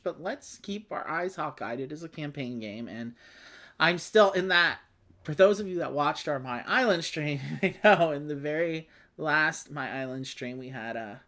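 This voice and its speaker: Female game streamer, low register, highly animated delivery, casual and jokey, faintly phlegmy. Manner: playful, wry joking asides. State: slightly phlegmy. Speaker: host of a board game play-along livestream. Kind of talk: casual chat with read-aloud game text.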